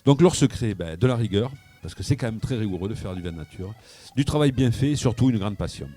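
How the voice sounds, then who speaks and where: A man's voice: on the low side, expressive, fast, dark tone. A man telling a wine anecdote on live radio from a wine shop, with frequent hesitations and repeated words. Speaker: wine columnist. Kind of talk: radio wine chronicle, storytelling.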